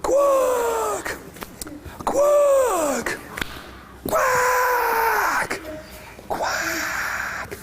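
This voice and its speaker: A man voicing an excitable little duckling with a squeaky voice and short repeated cries, in a children's story. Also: drawn-out words, long pauses, heavily acted. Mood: shrill. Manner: repeated short, shrill quacking words. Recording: echoey hall, faint background noise.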